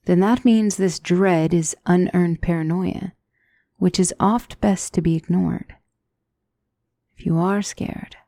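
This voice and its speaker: A woman playing a gentle demonic mentor in an ASMR fantasy roleplay, speaking softly with formal, measured, reassuring diction and often near a whisper. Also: lowish voice, gravelly, dry recording, studio quality. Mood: probing, teacherly. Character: gentle demonic tutor, female mentor to a young royal.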